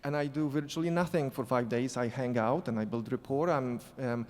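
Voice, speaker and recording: A man speaking English with a non-native accent, telling a story on a conference panel, halting and restarting often. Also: medium pitch, darkish tone. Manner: halting, many restarts and fillers. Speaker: sport psychology consultant on a panel. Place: conference hall. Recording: echoey hall reverb.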